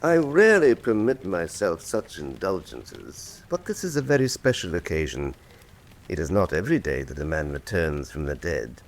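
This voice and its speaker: Courtly older criminal genius, English accent, patronizing, quietly menacing, expressive range, radio drama, faint noise. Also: male voice, low voice, moderate pace. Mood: silky menace. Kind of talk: full-cast radio drama acting.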